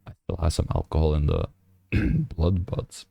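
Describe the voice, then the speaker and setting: A young man with a hoarse, nearly lost voice, mumbling through a late-night coding stream with many fillers. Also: sits low, huge pitch swings, moderate pace, no room sound, highs cut off. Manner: speaks quietly to avoid disturbing neighbors. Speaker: programming streamer. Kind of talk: casual coding stream commentary. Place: small apartment room at night.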